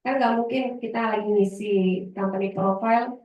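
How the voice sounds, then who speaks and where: A chatty woman with a Javanese lilt teaches a casual workshop, rambling a little and pausing to find her words. She sounds set back from the microphone in a roomy, echoing space. The sound is a bit dull. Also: medium pitch, steady tone, distant mic. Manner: chatty, loose, laughs easily, trails off searching for words. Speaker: voice-over trainer. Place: library workshop room.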